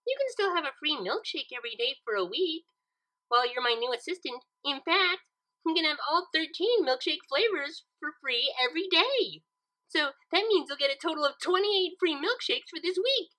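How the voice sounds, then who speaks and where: A woman's voice: sits high, lively intonation, normal speed, bright, forceful tone, pitched above the performer's own voice, poor audio. A woman voicing a bubbly, innocent, silly young female cartoon pony in a perky, naive manner, in a fan fiction reading. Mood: upbeat. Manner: perky, playful, cheerfully naive.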